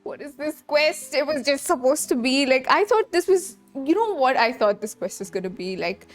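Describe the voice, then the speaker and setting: A woman giving casual live commentary on a game playthrough, halting as she thinks aloud. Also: on the high side. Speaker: streamer reacting to a game. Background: game music.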